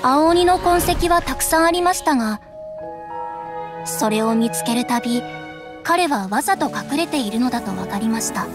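Game narrator: gentle female folktale teller, high register, scripted storybook delivery over music. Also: expressive, normal speed. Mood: gentle storytelling. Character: female storyteller reading a gentle folk tale.

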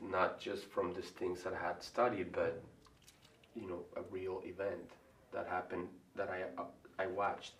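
Deep husky-voiced Venezuelan man, hesitant. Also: fairly bright tone. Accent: Venezuelan Spanish. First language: Spanish. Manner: thoughtful, hesitant, many ums and restarts. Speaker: artist and college faculty member. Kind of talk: interview answers. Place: room in front of a large painting. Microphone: far camera. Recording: echoey room from far off, faint hiss.

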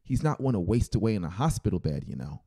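A man's voice: lowish voice, sing-song, fast talker, warm tone, dry recording, studio quality. A man voicing a cool, knowing young male cousin, casual and nonchalant, in an audiobook. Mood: blunt. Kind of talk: audiobook character voice.

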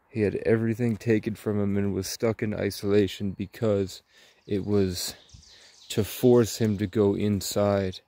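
Young guy vlogging on a walk in the woods, really slow n halting, dark mellow voice. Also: lowish voice, no room sound. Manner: halting, pausing in short phrases. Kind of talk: slow rambling monologue, ASMR-style walk. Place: outdoors in woods, walking.

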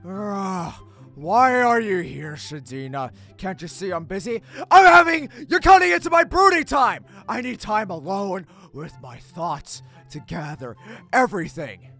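High, gravelly, panicky hedgehog antihero. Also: male voice, very animated, unhurried, faint music behind, heavily acted. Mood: irritated, flustered. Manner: melodramatic, repetitive yelling and stammering. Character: edgy, brooding male hedgehog, easily panicked and exasperated. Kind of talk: cartoon comic dub acting.

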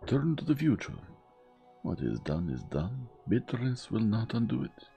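Fastidious elderly detective, formal and gentle, raised pitch, lively as a narrator puts him on. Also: male voice, vocal fry, faint music behind, full character voice. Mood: earnest. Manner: measured, formal, gently persuasive.

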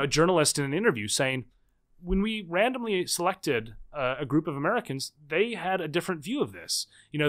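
Higher-voiced guy in his 30s talking fast and animated on a podcast, lots of restarts. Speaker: guest author. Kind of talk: podcast interview.

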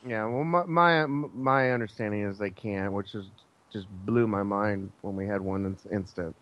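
A man telling a story casually as a guest, halting and searching for his words. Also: lowish voice, lo-fi sound. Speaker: guest, air traffic controller.

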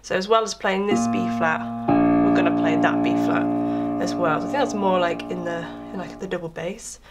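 Hoarse woman teaching piano. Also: fairly low pitch, moderate pace. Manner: chatty, explains step by step with filler words. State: hoarse from being under the weather. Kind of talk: casual tutorial explanation. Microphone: camera microphone with crackle. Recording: crackling camera mic with piano playing. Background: piano playing.

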